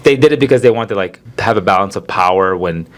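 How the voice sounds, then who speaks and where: Casual low-voiced male podcast host. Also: quick pace. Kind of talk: casual chat.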